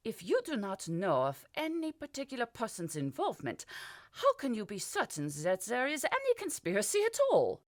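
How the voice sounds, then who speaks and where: A woman voicing a composed pregnant queen who speaks calmly and persuasively. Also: fairly high pitch, dry recording, clean studio sound, voice pushed up. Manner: calm, measured, softly persuasive.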